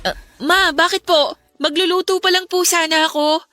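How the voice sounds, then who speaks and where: A woman's voice: extremely high pitch, steady tone, moderate pace, pushed and bright, no room sound, studio quality. A woman playing an ashamed, fearful schoolgirl daughter, meek and sorrowful, in an emotional radio drama. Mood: nervous.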